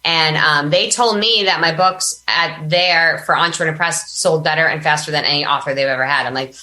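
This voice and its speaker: Casting: woman business coach, low register, bright and punchy, chatty interview delivery. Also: brisk, low-quality recording. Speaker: podcast guest, business coach and author. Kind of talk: casual interview talk.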